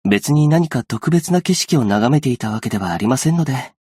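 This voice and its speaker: An actor playing a gentle, patient, earnest young male aid worker in a game, speaking politely and softly in measured, formal phrases. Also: medium pitch, lively intonation, slightly rough, no echo, clean studio sound. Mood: wistful, calm. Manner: polite, soft-spoken, measured formal speech. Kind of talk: scripted game character voice lines.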